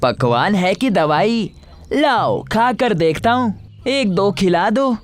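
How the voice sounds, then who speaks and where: A woman's voice: mid-range voice, very animated, slow pace, faint music behind. A woman voicing an ailing, skeptical male village customer in a story, plaintive and given to exclaiming. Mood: plaintive, doubtful.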